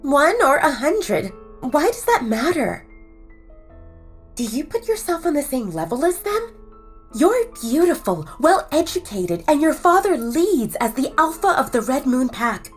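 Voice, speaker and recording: Actress as an animated, high-voiced young best friend. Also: female voice, unhurried, faint music behind. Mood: insistent, encouraging. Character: Nora, encouraging young woman, loyal best friend. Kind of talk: audio romance drama dialogue.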